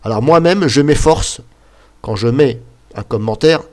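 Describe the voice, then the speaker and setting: A man's voice: medium pitch, animated, a little slow. Chatty man with a slight cold. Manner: rambling, chatty, full of "bah" and "voilà", points at the screen. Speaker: YouTuber. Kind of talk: casual screen-recording explainer.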